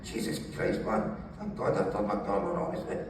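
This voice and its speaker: A man's voice: on the low side, lively intonation, a little fast. A man, telling stories casually in a jokey, sweary way as an interview guest. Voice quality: gravelly. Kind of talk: animated casual storytelling. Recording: very echoey room, distant mic, faint noise.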